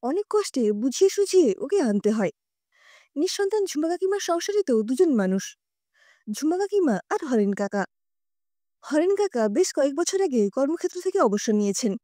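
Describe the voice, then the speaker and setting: A woman narrating a scripted audio story in an expressive, conversational reading. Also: sits high, moderate pace, no echo, clean studio sound.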